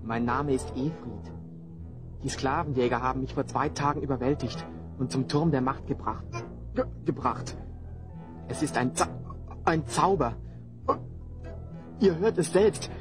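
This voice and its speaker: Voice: medium pitch, unhurried, pauses often, over music, natural voice. An actor playing a frightened captive slave man who stammers anxious warnings in a fantasy audio drama. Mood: fearful, warning.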